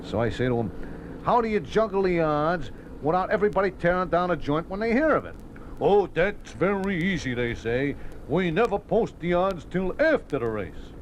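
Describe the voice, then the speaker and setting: An American actor playing a folksy, defensive racetrack bookie in a 1950s radio drama, talking in streetwise slang with stammered repetitions. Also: male voice, high voice, big pitch swings, normal speed. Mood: explaining, self-justifying. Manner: streetwise slangy talk, hesitant repetitions, aggrieved. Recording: noisy old radio broadcast recording.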